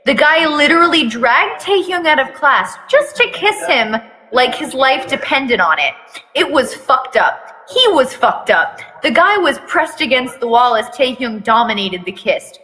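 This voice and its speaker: A woman reads a story aloud in a theatrical, mocking way. Her voice is fairly high and very bright, and she slips into sarcastic asides. A long reverb trails behind her. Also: big pitch swings, a little slow. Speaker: fan fiction reader on a live video. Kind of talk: mocking dramatic reading with asides.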